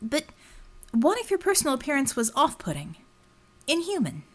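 A woman's voice: sits high, wildly swooping pitch, moderate pace, a bit bright, voice pushed up, heavily acted. A woman voicing a determined, wry female detective in an audiobook, direct and probing with a teasing edge.

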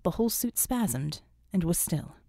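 Mellow woman narrating an audiobook. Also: fairly low pitch, expressive, a little slow, vocal fry, no echo, studio quality. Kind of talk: scripted dramatic fiction narration.

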